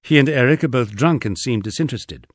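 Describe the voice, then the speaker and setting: A man's voice: mid-range voice, animated, a little fast, dry recording. A man reading a first-person diary-style memoir aloud with dry, understated storytelling. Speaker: audiobook narrator.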